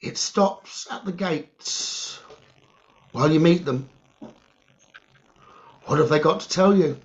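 A man leading a guided meditation in short, halting phrases with long pauses and a creaky voice. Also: on the high side. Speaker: guided meditation leader, psychic medium. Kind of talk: slow guided meditation narration. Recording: dull, lo-fi sound.

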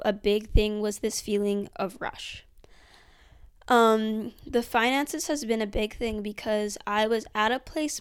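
A woman's voice: medium pitch, smooth. An eighteen-year-old woman, talking through a personal reflection in a casual, earnest vlog style with lots of fillers. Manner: chatty, filler-heavy, earnest. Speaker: vlogger.

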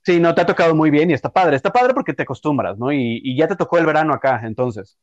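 A man in his late thirties with a Mexican accent, hosting a relaxed interview podcast in a chatty, slangy way. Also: fairly high pitch, fast talker, no echo, lo-fi sound. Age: late 30s. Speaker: podcast host. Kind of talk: casual interview chat.

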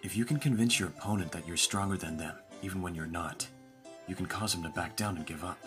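A man playing a proud, protective vampire master in an audio roleplay, speaking in a measured, formal, instructive way. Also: low voice, fairly bright tone, soft background music. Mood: calm, instructive.

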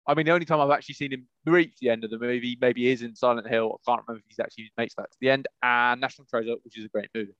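A man's voice: medium pitch, steady tone, very fast, hardly any pauses, fairly bright tone, clean tone, no room sound, lo-fi sound. A man chatting casually as a podcast co-host, rambling and jumping between thoughts. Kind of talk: casual chat about video game movies.

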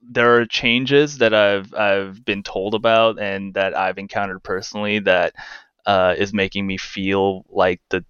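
A man's voice: lowish voice, flat delivery, unhurried, fairly bright tone, clear, resonant tone, no echo, lo-fi sound. A man around thirty, a guest in a casual interview, who talks in a wry, rambling way and fills his speech with "uh" and "like.". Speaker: guest, games news editor.

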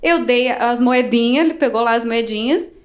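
A Brazilian woman, an English teacher, telling travel stories in a casual podcast chat, animated and acting out quoted voices. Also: fairly high pitch, normal speed. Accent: Brazilian Portuguese. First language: Portuguese.

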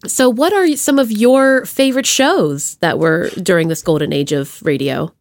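A woman hosting a podcast in casual chat, with a gushing, expressive manner. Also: medium pitch, moderate pace, no echo, clean studio sound. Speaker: podcast host.

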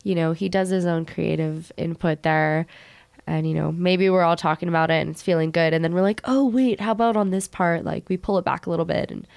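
Low creaky woman musician chatting. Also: steady tone, fairly quick, darkish tone, no room sound. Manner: rambling, reflective, many fillers. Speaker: band guitarist and songwriter. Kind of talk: casual interview chat.

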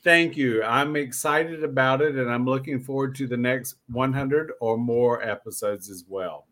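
A man's voice: medium pitch, moderate pace, a bit bright. A man hosting a casual interview podcast, talking in a campy, familiar way with emphatic repeats. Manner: campy, uses "honey", repeats himself for emphasis. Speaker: podcast host.

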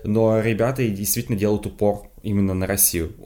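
Fast-talking low-voiced Muscovite man. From Moscow, Russia. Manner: quick, lively, laughs often, restarts. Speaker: podcast co-host. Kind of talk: casual chat.

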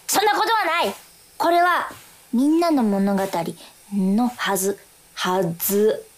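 A woman acting a dreamy, unsure young boy in a radio drama, musing and repeating phrases haltingly. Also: fairly high pitch, big pitch swings. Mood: reflective. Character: dreamy young boy Hana, unsure and wondering about life. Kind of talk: theatrical radio drama monologue. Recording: music under the voice.

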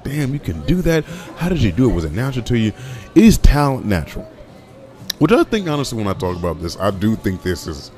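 A young man with a deep voice hosting a casual solo podcast, rambling with many restarts and fillers. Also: darkish tone. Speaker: podcast host.

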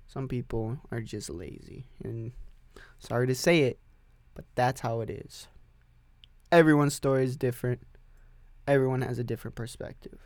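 A man's voice: mid-range voice, moderate pace, pauses often, a little mellow, no room sound. A man hosting a solo podcast, rambling and joking with frequent swearing. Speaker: podcast host. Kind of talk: casual solo podcast rant.

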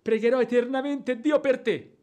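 An actor playing a timid old household servant in an Italian radio drama, pleading in a plaintive, fretful, quavering way. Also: male voice, very high voice, steady tone, moderate pace, darkish tone. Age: older.

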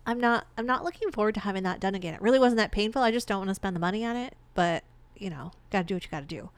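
A young woman with a congested, blocked-nose voice chats at length on a podcast. Her tone is smooth and clean, recorded close and dry. She rambles easily from one story to the next. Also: medium pitch, racing, no echo. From Michigan. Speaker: podcast co-host. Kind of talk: casual chat.

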